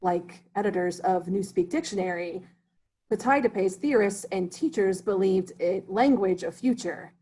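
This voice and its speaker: A man reading a formal, breathy immigrant teacher. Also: sits high, warm tone, low-quality recording. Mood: earnest. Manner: formal, drops articles, precise and measured. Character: erudite immigrant male substitute teacher, formal. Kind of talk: amateur play reading.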